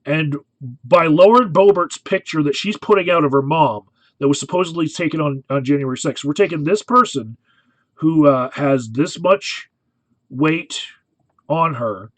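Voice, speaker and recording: A man's voice: on the high side, normal speed, no room sound, poor audio. A man giving casual, analytical video commentary, talking conversationally and often checking in with the listener. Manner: conversational, frequently checks in with "right?".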